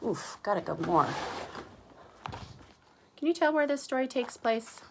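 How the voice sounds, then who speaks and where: A woman teacher reading aloud to young children, chatting conversationally, explaining gently and asking them questions. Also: a little high, very animated, a little slow, background noise. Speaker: kindergarten teacher.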